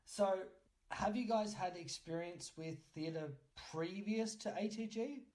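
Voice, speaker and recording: A man's voice: high-pitched, takes it slowly, a little mellow, low-quality recording. Bantering young male podcast host. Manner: joking, bantering. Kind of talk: casual interview chat.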